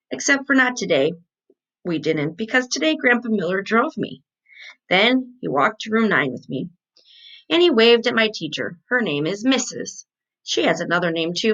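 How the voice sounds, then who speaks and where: A woman's voice: mid-range voice, fairly bright tone, lo-fi sound. A woman voicing a chatty, indignant kindergarten girl from a storybook, with emphatic childish phrasing.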